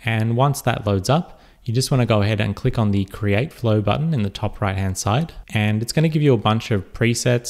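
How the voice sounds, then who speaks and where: A man giving a relaxed step-by-step software tutorial over a screen recording, with frequent fillers. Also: lowish voice.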